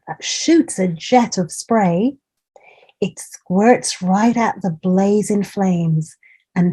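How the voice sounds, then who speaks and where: A woman's voice: mid-range voice, mellow, slightly rough. A woman hosting a children's storytime, reading a picture book aloud and chatting to young listeners in an encouraging way as she points out details. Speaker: children's storytime host and reader.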